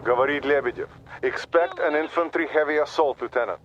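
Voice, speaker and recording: An actor playing a thoughtful Soviet intelligence officer with a Russian accent, giving measured, matter-of-fact reports in a military video game. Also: male voice, a little high, a bit bright, lightly acted. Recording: dull radio sound, faint battle noise.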